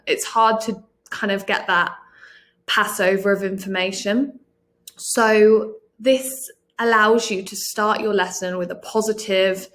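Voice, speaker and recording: A woman in her thirties with a British accent, giving a recorded presentation to teachers in a chatty, encouraging way, often saying "um" and "kind of". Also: mid-range voice. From United Kingdom. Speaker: teacher trainer and academic coordinator.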